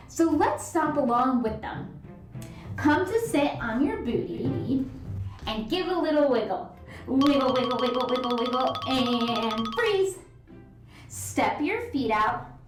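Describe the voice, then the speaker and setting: A woman hosting a children's read-aloud and movement lesson, instructing young children in an animated, singsong way. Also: fairly high pitch. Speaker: children's yoga and story time host. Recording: roomy echo, distant mic, music bed. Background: music.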